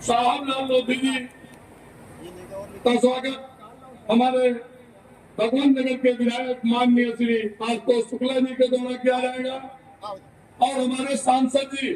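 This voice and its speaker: Male emcee for a village ceremony; extremely high, fairly even pitch, echoing PA. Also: unhurried, pauses often. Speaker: event announcer. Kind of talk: ceremonial stage announcing. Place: public village ceremony, over loudspeakers. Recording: echoey distant PA, faint noise.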